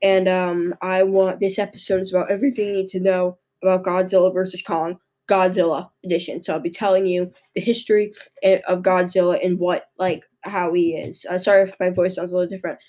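Young woman host, hoarse with a cold, on the low side, stumbling and restarting as she reads her podcast notes. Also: level tone, dark tone. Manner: halting, stumbles and restarts while reading. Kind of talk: casual podcast monologue reading notes. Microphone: microphone into a laptop.

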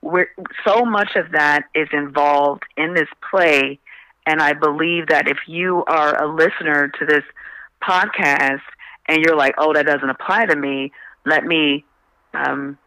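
Bright, forceful man speaking earnestly. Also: fairly high pitch, steady tone, unhurried, dry recording, low-quality recording. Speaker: radio show guest, stage play promoter. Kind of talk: conversational radio interview talk.

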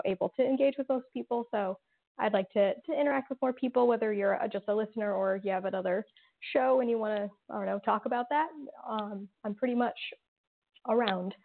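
A woman's voice: medium pitch, vocal fry. A woman talking casually as an interview guest, explaining things in a conversational way. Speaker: podcast guest, audio drama writer. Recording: thin phone-like call audio.

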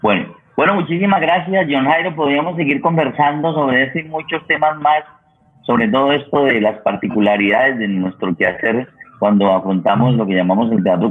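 A Colombian man hosting a radio programme in a semi-formal, wordy way, deliberate and halting, often repeating himself. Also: lowish voice, breathy edge. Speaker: radio host and theatre director. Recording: tinny phone-like line.

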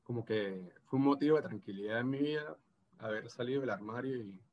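Venezuelan gocho-accented man in his thirties, guest in a relaxed interview, hesitant delivery. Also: medium pitch, a little fast, highs cut off. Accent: Venezuelan Andean (gocho) Spanish. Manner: hesitant, many restarts and fillers. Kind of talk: casual video interview.

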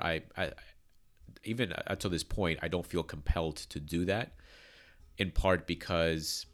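A man's voice: rumbling low, fairly bright tone. A man speaking as a pastor on his church's podcast, congested from allergies, talking in an earnest, careful way with many fillers and restarts.

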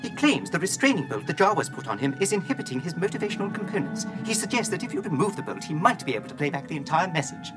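An actor playing an anxious, servile protocol droid in a radio drama, with prim, clipped diction and a formal, fretful, scolding manner. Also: male voice, high-pitched, sing-song, quick pace, few pauses, over loud music. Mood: formal, deferential.

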